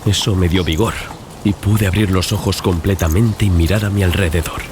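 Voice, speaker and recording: A man with a very deep voice narrates a horror audiobook. His delivery is scripted, dramatic storytelling. Noticeable ambient noise sits behind the voice. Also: steady tone, moderate pace. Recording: clear background ambience and noise. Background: sound-design ambience.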